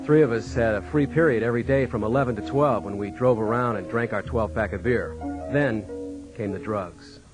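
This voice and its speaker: A man's voice: medium pitch, normal speed, over music, close to an everyday voice, rough recording. An actor with an American accent playing a farm man who tells of his wayward youth in a radio drama. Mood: reflective. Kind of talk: radio drama first-person narration.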